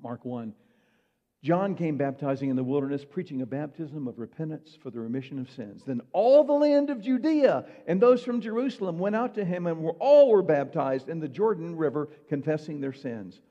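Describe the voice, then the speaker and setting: A man's voice: on the high side, lively intonation, normal speed, dark tone. A man preaching a sermon in a conversational way, asking his listeners rhetorical questions. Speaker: pastor. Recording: muffled hall reverb.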